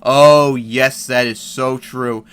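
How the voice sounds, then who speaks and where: A man's voice: mid-range voice, fairly even intonation, slow, a bit bright, clean tone. A man giving heated, profane commentary on an article and news clips, reading passages aloud and reacting. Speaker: commentary vlogger.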